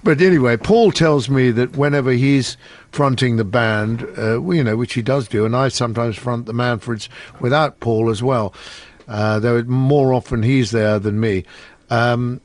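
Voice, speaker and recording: A man's voice: medium pitch, moderate pace, dry recording. An older man, a musician guest, telling wry anecdotes in easygoing conversation. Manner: chatty, wry storyteller.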